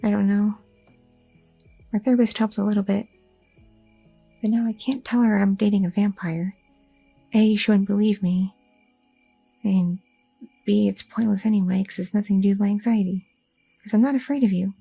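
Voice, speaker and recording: A woman voicing a sweet, anxious young goth girlfriend in an ASMR roleplay, talking softly and a little hesitantly, close to the mic. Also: mid-range voice, steady tone, moderate pace, gravelly, pauses often, breathy edge, dry recording, faint music behind, voice pushed down. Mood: earnest. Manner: soft, affectionate, a little hesitant, with fillers.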